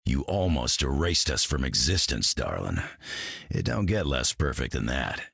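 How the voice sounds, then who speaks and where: An actor playing a surly, sardonic mutant brawler in a video game. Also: male voice, very deep voice, very animated, normal speed, fairly bright tone, no room sound, studio quality, full character voice. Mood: gruff. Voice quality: gravelly, growling. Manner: blunt, sardonic drawl.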